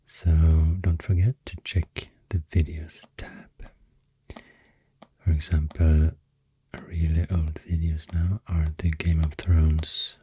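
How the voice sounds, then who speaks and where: A man with a Swedish accent rambles in soft-spoken, near-whispered ASMR, gentle and unhurried, close to the microphone. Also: no echo. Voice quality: breathy, near-whispered deep voice. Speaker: ASMR video host.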